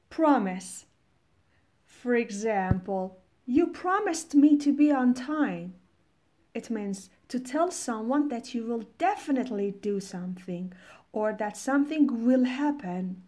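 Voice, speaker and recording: A woman from Iran, a native Farsi speaker, teaching English vocabulary in a deliberate, explanatory way with definitions and examples. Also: on the high side, dark tone.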